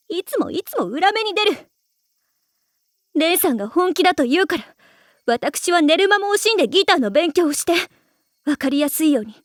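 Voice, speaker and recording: A woman's voice: high voice, dry recording, clean studio sound. A woman voicing a proud, self-blaming teenage schoolgirl in an anime, with formal, refined ladylike speech. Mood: anguished. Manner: formal, refined ojou-sama way of speaking. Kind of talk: anime voice acting.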